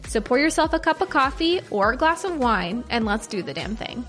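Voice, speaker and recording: An enthusiastic woman hosts a podcast, her voice sitting a little high. She reads a lively scripted intro over faint background music, then turns to greet her guest. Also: a little fast. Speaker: podcast host.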